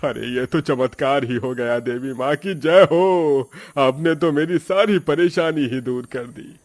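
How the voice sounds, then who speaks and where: A man playing a humble, devout middle-aged farmer and father in an animated moral story, speaking earnestly with exclamations. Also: mid-range voice, a little slow, no echo, lightly acted. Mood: awed, grateful. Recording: faint music behind.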